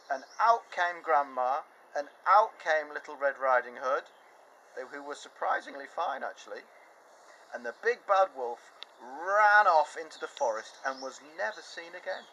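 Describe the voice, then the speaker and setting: A man reading a picture book aloud to children in an animated, expressive way, sitting outdoors in a garden with faint birds and insects behind him. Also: a little high, measured pace, a little hiss or hum, rough recording. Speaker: children's storyteller.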